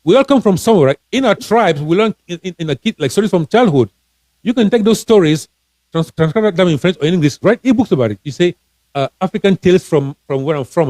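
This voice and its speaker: Man from cameroon, coach type, earnest and encouraging, keeps restarting words, voice on the higher side, dry close sound. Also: darkish tone, dry recording. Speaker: financial coach, author. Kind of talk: casual live-stream advice talk.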